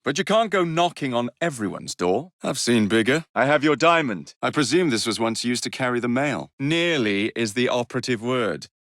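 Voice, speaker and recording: An actor with an English accent playing a cocky, roguish young Victorian gang leader in a video game, with a cheeky, wry, theatrical manner. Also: male voice, medium pitch, lively intonation, moderate pace, few pauses, a bit bright, no echo, studio quality. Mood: cheeky, playful.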